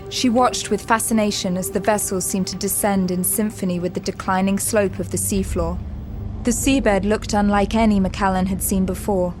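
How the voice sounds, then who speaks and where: Female narrator, scripted drama storytelling, measured delivery, medium pitch, over a clear music bed.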